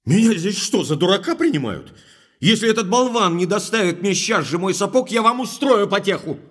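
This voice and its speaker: A man's voice: high voice, a little fast. An actor playing a hot-tempered, indignant baronet in an audio drama, emphatic and agitated in his speech. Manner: agitated, emphatic, courteous when calming.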